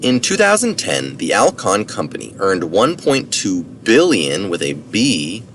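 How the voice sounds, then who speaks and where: A man with a fairly low voice reads an article aloud, his intonation moving up and down a lot. He takes care over technical words and keeps an informal touch. He sounds some way from the microphone. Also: wildly swooping pitch, unhurried, a bit bright. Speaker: reader of articles. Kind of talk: reading an article aloud with brief asides. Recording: distant mic with faint background noise.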